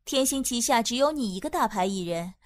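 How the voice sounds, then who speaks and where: Lady doing clever teasing woman in a radio drama, high voice, swoops around, sure of herself. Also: expressive, no room sound, close to an everyday voice. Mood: persuasive. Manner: brisk, teasing, self-assured. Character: shrewd, teasing female confidante of a star. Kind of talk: Chinese audio drama acting.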